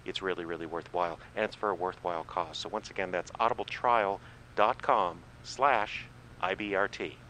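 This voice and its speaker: Male small-town radio presenter: lowish, forceful voice, homespun informal delivery with some hesitancy. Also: normal speed, slight background noise, rough recording. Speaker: radio host and announcer.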